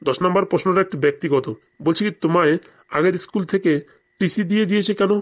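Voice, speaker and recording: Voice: medium pitch, fast, natural voice. A performer voicing a stern, sarcastic male headmaster in a comedy cartoon. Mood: stern, questioning. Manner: sarcastic, scolding interrogator.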